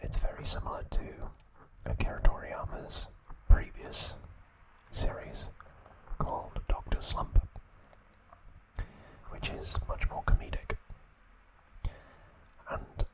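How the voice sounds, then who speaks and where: A man speaks entirely in a breathy whisper, in the manner of an ASMR host. He goes very slowly, in short hushed phrases with long pauses between them. The delivery is halting and informal, close to the mic. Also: poor audio.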